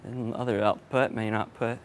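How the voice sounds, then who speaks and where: A man's voice: medium pitch, little pitch movement, moderate pace. A man talking casually and offhand as a sound engineer setting up gear, often muttering to himself. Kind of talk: casual vlog talk while setting up gear. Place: indoors in a print shop. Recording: clear background noise, room ambience.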